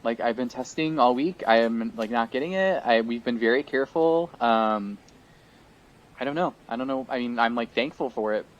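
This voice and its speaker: A man of ordinary middle pitch co-hosts a relaxed podcast chat, his voice worn as he is losing it. He rambles along with plenty of fillers and restarts. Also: moderate pace, dull audio. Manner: chatty and rambling, many fillers. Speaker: podcast co-host. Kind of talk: casual chat.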